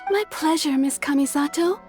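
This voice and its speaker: A woman's voice: high voice, moderate pace, darkish tone, soft background music, close to an everyday voice. A woman playing a courteous, businesslike kimono shopkeeper in an anime game, explaining things politely. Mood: pleased.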